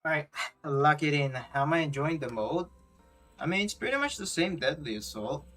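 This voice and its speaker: A man's voice: on the high side, lively intonation, moderate pace, a bit bright. A man chatting casually on a gaming livestream, rambling and thinking aloud in slangy speech. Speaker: game livestreamer. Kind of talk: casual gaming stream chat. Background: game music faintly behind.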